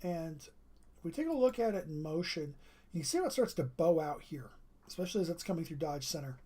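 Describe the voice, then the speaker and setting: A weather livestreamer talks steadily through live radar maps. The voice is strained and keeps breaking off to clear the throat. It sits at an ordinary middle pitch. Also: animated, brisk, dark tone.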